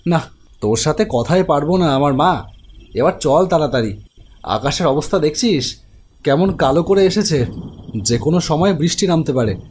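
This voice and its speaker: A protective elder brother narrating his own story, as a man acts him out. His slightly high voice moves in lively rises and falls, brisk and teasing with his sister and reassuring to others. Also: a little hiss or hum, close to an everyday voice. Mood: hurrying. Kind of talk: animated ghost story narration and dialogue.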